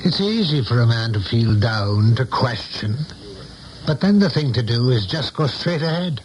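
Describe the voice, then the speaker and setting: An actor in a 1970s radio play, playing an older saloon keeper who is folksy, shrewd and philosophical with a loyal friend. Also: male voice, mid-range voice, lively intonation, moderate pace, natural voice. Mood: frank, reassuring. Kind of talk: 1970s radio drama acting. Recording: dull vintage radio broadcast, music behind.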